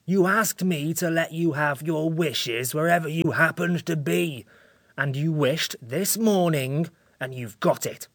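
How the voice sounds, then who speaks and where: A woman's voice: deep voice, unhurried, no room sound, studio quality. A woman voicing a cross, sleepy sand fairy creature in a storybook reading, grumpy and disagreeable.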